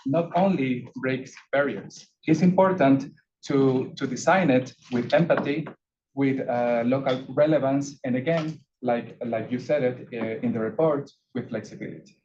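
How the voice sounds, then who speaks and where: Quiet hesitant man from Ecuador. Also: medium pitch. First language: Spanish. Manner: hesitant, many fillers and repeated words; quiet, asked to speak louder. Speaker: panelist. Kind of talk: prepared panel remarks. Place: conference side event. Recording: dull lo-fi audio with faint noise.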